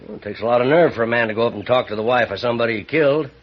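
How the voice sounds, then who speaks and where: An actor playing a bitter, greedy older rancher with an American accent and a folksy, needling drawl in a radio western. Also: male voice, medium pitch, rapid-fire, natural voice. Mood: sly, scornful. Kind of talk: old-time radio western acting. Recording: muffled, noisy old radio recording.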